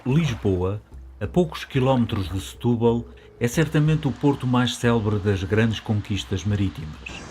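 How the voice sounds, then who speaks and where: Low-voiced man narrating over music. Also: expressive, measured pace, warm tone. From Portugal, later living in France. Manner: reflective, nostalgic. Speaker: documentary narrator. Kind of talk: scripted first-person narration.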